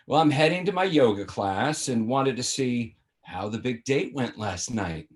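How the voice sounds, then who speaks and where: Man playing nagging, flippant dad, very animated. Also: mid-range voice, measured pace, close to an everyday voice. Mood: musing, curious. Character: Lenny, nagging, flippant much-married father of grown son. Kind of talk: sitcom table read. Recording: online video call.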